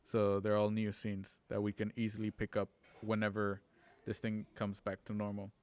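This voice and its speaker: A man chatting casually on a group call as a host, explaining things haltingly and repeating words as he goes. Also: on the low side, completely flat delivery, a little mellow, clean tone, dry recording. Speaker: host and producer of a streamed radio drama show. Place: at home on a group video call.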